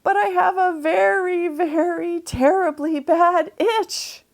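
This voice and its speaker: A woman voicing a gentle young male manatee in a children's storybook, pleading plaintively about a bad itch. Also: extremely high pitch, fairly even intonation, slow, gravelly, pitched above the performer's own voice, exaggerated voice. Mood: desperate pleading.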